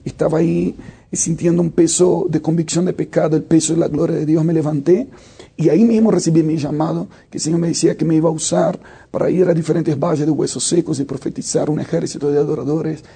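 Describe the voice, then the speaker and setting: Worship leader, thirties, male, higher-pitched but husky, reflective with hesitations. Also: fairly even intonation, fast, dark tone. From Brazil. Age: 30s. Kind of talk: interview answers.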